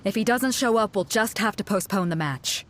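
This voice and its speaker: A woman's voice: medium pitch, normal speed, a little hiss or hum, natural voice. An actress playing a bold, commanding female pirate captain in a video game, talking in a brash, commanding way as she calls the crowd to order. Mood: impatient. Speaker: tournament announcer. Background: game music.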